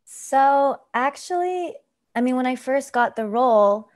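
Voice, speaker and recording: A woman's voice: high voice, animated, a little slow, darkish tone, no echo, clean studio sound. A woman who grew up in Japan, an actress on a video call, chatting casually with frequent fillers. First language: English. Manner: chatty, lots of "like". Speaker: actor.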